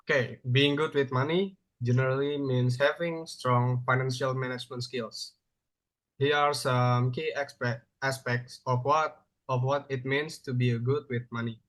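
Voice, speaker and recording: Young male student, Indonesian accent, mid-pitched, careful and halting, prepared spoken answers. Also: steady tone, fairly bright tone. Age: young adult. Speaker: university student. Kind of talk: prepared question-and-answer speaking exercise.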